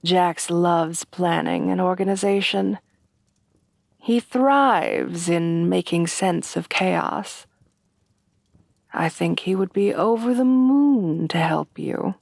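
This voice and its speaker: A woman voicing a refined, devoted headless horsewoman bride in a soft, breathy, courtly ASMR roleplay, often dropping to whispers. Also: lowish voice, slow, dry recording, clean studio sound, exaggerated voice. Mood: gentle, doting. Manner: refined, formal, courtly, tenderly doting.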